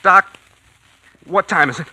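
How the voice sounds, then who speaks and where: Jittery, high-voiced radio-drama factory worker. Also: male voice, a little slow, pushed and bright, heavily acted. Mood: nervous, pleading. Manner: nervous, pleading. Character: anxious, evasive male factory worker hiding his nerves. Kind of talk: 1940s radio acting. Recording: very noisy vintage 1940s radio recording.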